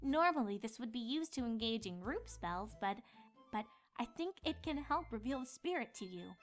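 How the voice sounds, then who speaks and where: A woman's voice: fairly high pitch, expressive, moderate pace, soft background music, lightly acted. A woman voicing an earnest, easily indignant young female cartoon unicorn in an insistent, bristling manner. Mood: explaining. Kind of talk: cartoon fan-fiction voice acting.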